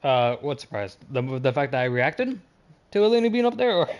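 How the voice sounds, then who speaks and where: A man livestreaming a game with casual, halting commentary as he thinks aloud. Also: on the high side, very animated.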